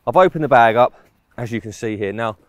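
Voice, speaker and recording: A man's voice: medium pitch, fairly quick, no room sound. Chatty man reviewing gear outdoors. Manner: chatty, informal, explains specs step by step. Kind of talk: product review walkthrough. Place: outdoors in woodland.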